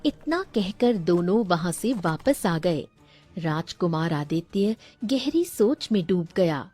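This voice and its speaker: A woman's voice: lowish voice, lively intonation, slow pace, warm tone, faint music behind. A woman narrating a scripted fairy tale for a cartoon as a storyteller.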